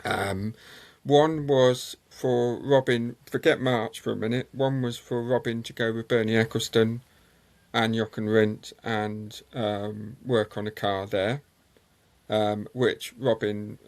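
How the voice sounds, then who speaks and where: A man, a guest on a motorsport show, telling long anecdotes with many ums and pauses. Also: on the low side, vocal fry. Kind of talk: conversational interview storytelling.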